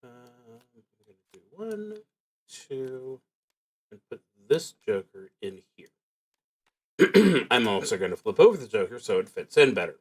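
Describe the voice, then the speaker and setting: A man with a mid-pitched voice hosts a casual solo roleplaying livestream. He takes his time, haltingly working through the rules aloud and explaining them as he goes. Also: very animated. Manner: halting, thinking aloud while reading rules. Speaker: host streaming a solo tabletop roleplaying game.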